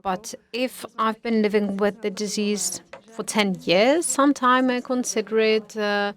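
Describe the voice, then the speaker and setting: Woman interpreter, slow careful delivery, pauses with fillers, panel discussion. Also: medium pitch, steady tone. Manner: unhurried, hesitant with fillers. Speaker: conference interpreter. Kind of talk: simultaneous interpretation at a congress.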